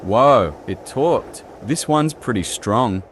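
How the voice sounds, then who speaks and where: A brash devil hunter captain, voiced by a man in a manga dub. He is mid-pitched, flirty and casually commanding. Clear urban noise runs behind him. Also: lively intonation, slow pace. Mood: grumbling, casual. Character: cocky, flirty adult male devil hunter squad leader. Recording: noisy track with street ambience.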